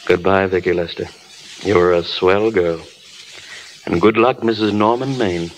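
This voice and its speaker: Concerned, kindly producer, low measured voice, 1930s radio drama, faint background noise. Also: male voice, fairly even intonation, low-quality recording. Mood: resigned, fond.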